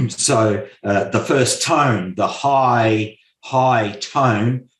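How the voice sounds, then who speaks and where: A man giving slow, deliberate explanations as an interview guest, repeating key points with hesitant fillers. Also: medium pitch. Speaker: guest expert on memory and mind maps. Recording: roomy echo.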